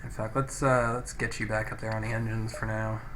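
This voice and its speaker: A man giving casual, sweary video game commentary as a let's play host, thinking out loud, recorded on a poor-quality microphone. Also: on the low side, little pitch movement, brisk. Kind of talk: casual gameplay commentary with read-out game text. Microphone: low-quality home microphone. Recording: noisy cheap microphone, poor audio.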